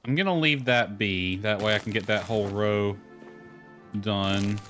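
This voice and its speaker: A man's voice: lowish voice, unhurried, a bit bright, soft background music. A man chatting casually on a gameplay livestream, thinking aloud as he plays. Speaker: game streamer. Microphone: headset.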